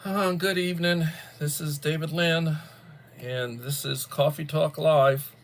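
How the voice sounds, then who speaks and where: A man hosting a casual livestream, chatting to viewers while still stuffed up and coughing from the flu, in a rambling, drawn-out way. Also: on the high side, fairly even intonation, a little hiss or hum. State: congested, coughing after flu. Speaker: livestream host. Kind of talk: casual chat greeting viewers.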